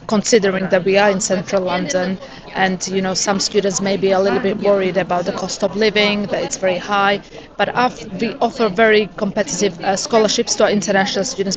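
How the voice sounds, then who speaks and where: A woman representing a university answers interview questions steadily, pausing with frequent "uh"s. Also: medium pitch, low-quality recording. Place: busy education expo hall. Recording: crowd noise behind. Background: crowd chatter.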